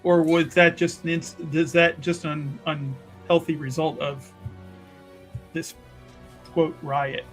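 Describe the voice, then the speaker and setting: A man's voice: on the high side, flat delivery, takes it slowly, a bit bright, soft background music. A man playing an earnest, wry investigator in a fantasy tabletop game, musing hesitantly and circling back over his words. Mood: puzzled, probing. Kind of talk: tabletop roleplay, improvised in character.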